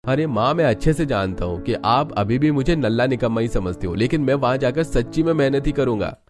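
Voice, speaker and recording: A man's voice: medium pitch, expressive, a little fast, over music, close to an everyday voice. A man voicing a boastful, idle young husband in a cartoon story, brash, self-assured and dismissive. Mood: cocky.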